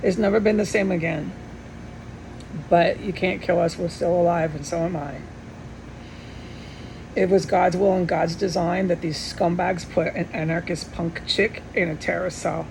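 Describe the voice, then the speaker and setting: Gravelly middle-aged woman, noisy recording. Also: normal speed. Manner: earnest, insistent, repetitive for emphasis, swears. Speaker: vlogger. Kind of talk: rambling personal monologue. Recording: steady background hum and rumble.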